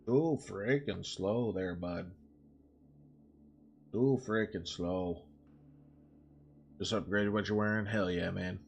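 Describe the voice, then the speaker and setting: A man's voice: low voice, slow pace, vocal fry, long pauses, breathy edge. A man livestreaming in casual, sweary bro slang, chatting and composing a story aloud. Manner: informal, profane, bro slang. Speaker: live-streaming writer and gamer. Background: background music.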